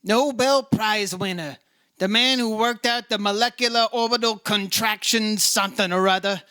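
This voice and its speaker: A man's voice: sits high, fairly even intonation, normal speed, pushed and bright, clean tone, no echo. A man playing a wry, breezy insurance investigator in 1950s-style radio detective drama, dry and wisecracking. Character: wry, breezy male insurance investigator, hard-boiled but kindly.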